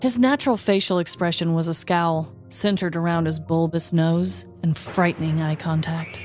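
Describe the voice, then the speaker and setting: A woman's voice: fairly low pitch, fairly even intonation, moderate pace, darkish tone, soft background music. Wry woman narrating comedic true crime. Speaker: podcast host and storyteller.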